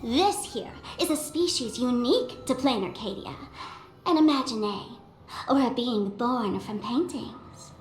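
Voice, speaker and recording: High, animated, teasing young tour guide. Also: female voice, a little slow. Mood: storytelling, showy. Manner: teasing, theatrical. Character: brash, playful young woman acting as tour guide. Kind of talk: animated video game character dialogue. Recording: roomy sound over background music.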